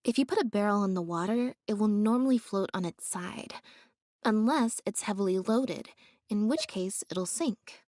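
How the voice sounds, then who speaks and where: Woman voicing a quiet composed girl sleuth in a game, mid pitch, matter-of-fact and thoughtful. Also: animated, moderate pace, dry recording, clean studio sound, close to an everyday voice. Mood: pensive. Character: reserved, composed, observant young female investigator. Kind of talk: scripted video game voice acting.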